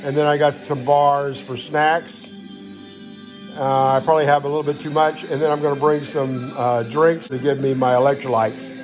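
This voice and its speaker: A man's voice: a little high, little pitch movement, normal speed, faint music behind, poor audio. A man giving a casual, unscripted gear walkthrough in a folksy, matter-of-fact way, recorded indoors on a handheld phone. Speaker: hiker showing gear. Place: indoors in a home living room. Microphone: handheld phone camera, speaker behind it.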